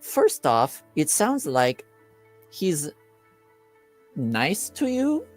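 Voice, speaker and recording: A woman's voice: low voice, wildly swooping pitch, extremely slow, faint music behind. A woman playing a tabletop roleplaying game, mixing casual in-character banter with bits of narration, teasing and repeating words for emphasis.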